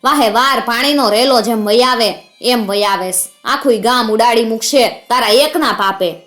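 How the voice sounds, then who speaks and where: A woman's voice: on the high side, normal speed, a bit bright, faint music behind, close to an everyday voice. Woman as urgent Kathiawadi village headman. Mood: admonishing appeal. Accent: Kathiawadi Gujarati. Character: trusting, respected village man appealing and warning.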